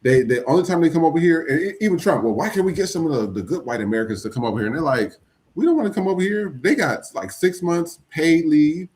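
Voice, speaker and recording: Male podcast host, blunt and profane, animated delivery. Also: on the high side, fast. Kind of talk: casual commentary.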